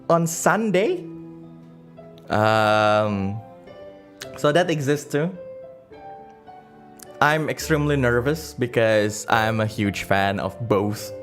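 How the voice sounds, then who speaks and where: A man hosting a livestream in casual chat, halting and rambling as he searches for words, with as his language. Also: medium pitch. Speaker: livestream host. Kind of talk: casual livestream chat, reading donations and comments. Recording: music playing behind the voice. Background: background music.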